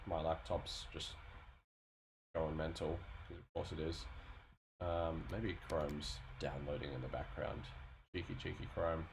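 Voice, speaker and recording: A man in his thirties chatting casually and thinking aloud as he streams his work as a sound designer. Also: low-pitched. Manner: rambling, informal, thinking aloud. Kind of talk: casual livestream chat while working. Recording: noisy home stream mic, background noise.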